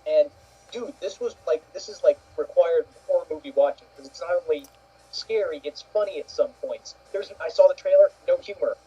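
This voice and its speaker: Very dark, husky man on dull audio. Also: a little high, lively intonation, fairly quick. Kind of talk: casual chat.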